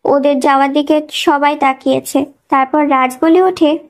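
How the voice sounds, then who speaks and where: High-pitched woman narrating a story. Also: fairly even intonation, normal speed. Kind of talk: scripted narration of a romantic thriller story.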